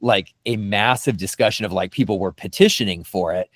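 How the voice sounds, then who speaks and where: A man hosting a fan discussion podcast, talking in an animated, emphatic way. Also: on the low side, fairly quick, no room sound, studio quality. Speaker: podcast host.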